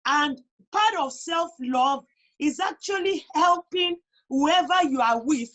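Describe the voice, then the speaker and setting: A woman's voice: sits high, takes it slowly, a bit bright. A woman with a Nigerian accent speaking emphatically as a talk show guest, repeating phrases to drive her points home. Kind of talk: conversational interview, personal advice.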